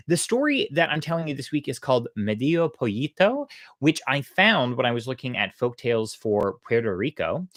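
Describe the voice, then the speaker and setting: A storytelling host with a lively, varied pitch and a close, dry sound. The delivery is conversational and thoughtful, moving between casual explanation and expressive storybook narration. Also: dry recording.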